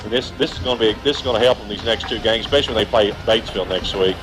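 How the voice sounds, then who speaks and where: A man's voice: on the low side, rapid-fire, music in the background, poor audio. A man giving color commentary on a radio football broadcast, in a folksy, emphatic way.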